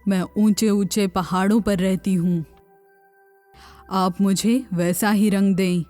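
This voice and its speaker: A woman's voice: medium pitch, steady tone, warm tone, soft background music, voice pushed down, close to an everyday voice. A woman voicing a composed, dignified female falcon in a children's tale.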